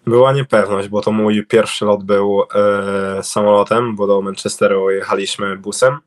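Young man, low flat halting voice. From Poland. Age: young adult. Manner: halting, many fillers and restarts. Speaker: interviewed speedway cycling rider. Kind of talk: casual interview answers. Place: indoor room at home. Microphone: video call webcam.

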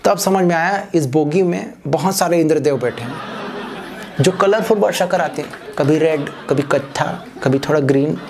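A young man doing a stand-up comedy set on a small stage, telling an animated story and acting out other people's voices. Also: on the high side, moderate pace, noisy background. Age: young adult. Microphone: handheld mic. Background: audience laughter.

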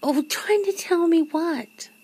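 A woman playing a nervous, teasing, disbelieving girlfriend in an intimate ASMR roleplay, talking haltingly and often dropping toward a whisper. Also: very high voice, darkish tone. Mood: hesitant, confused. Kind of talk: ASMR roleplay, one side of an intimate conversation.

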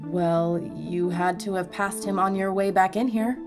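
An actress playing a defensive, sardonic hospital nurse in a horror audio drama, pointed and matter-of-fact. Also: female voice, medium pitch, fairly even intonation, measured pace, over music, lightly acted.

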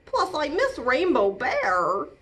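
A woman's voice: high-pitched, wildly swooping pitch, takes it slowly, a bit bright, voice pushed up, exaggerated voice. A woman voicing a whiny, complaining stuffed toy animal from a picture book, with plaintive exaggeration. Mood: whiny, uncomfortable.

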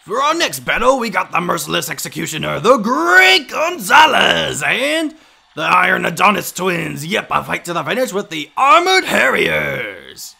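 A man voicing a brash, loud male fight announcer in a game fan dub, calling out fighters with big hype. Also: big pitch swings, moderate pace, vocal fry, husky, exaggerated voice. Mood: hyping. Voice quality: booming hype.

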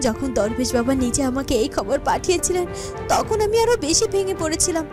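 An emotional young fairytale heroine in love, played by a woman, with an extremely high voice. She speaks earnestly and melodramatically over clearly audible music. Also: very animated, brisk. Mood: wistful. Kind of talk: melodramatic Bengali cartoon voice acting. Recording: music behind the voice.

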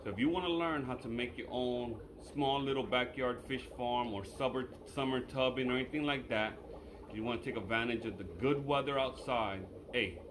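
A relaxed man in his thirties talks his viewers through a hands-on backyard project in an easygoing, conversational way. He is picked up by a camera standing a few steps off outdoors, and steady outdoor noise with passing traffic runs under his voice. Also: medium pitch, moderate pace. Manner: relaxed, chatty, addresses viewers directly. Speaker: fish breeder vlogger. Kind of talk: casual how-to vlog. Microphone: far camera on a tripod.

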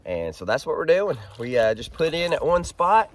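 A man in his thirties with a hoarse, froggy voice from a head cold, chatting casually as he fishes from a kayak on a creek, filmed by a pole-mounted camera. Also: fairly high pitch, moderate pace. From Arkansas. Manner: chatty and upbeat, excited while reeling in fish. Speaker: fishing vlogger. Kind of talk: casual outdoor vlog. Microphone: action camera on a pole mount.

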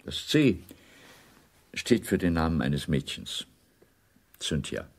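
A low-voiced, slightly husky reserved male intelligence officer in a radio play. He sounds troubled and holds himself back, halting at times. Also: big pitch swings, full character voice. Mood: quietly pained. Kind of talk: radio drama acting.

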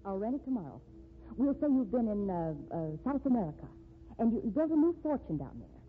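A woman's voice: medium pitch, big pitch swings, a little fast, natural voice. Actress as determined woman, insistent, 1950s radio acting, phone-like narrow band, faint noise. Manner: insistent, emphatic. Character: determined, devoted woman writer, stubborn schemer. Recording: tinny, noisy 1950s radio recording.

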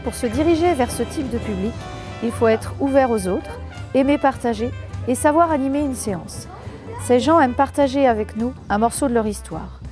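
High-voiced woman over music. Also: normal speed. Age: 40s. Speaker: senior fitness instructor being interviewed. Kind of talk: prepared testimonial, explanatory.

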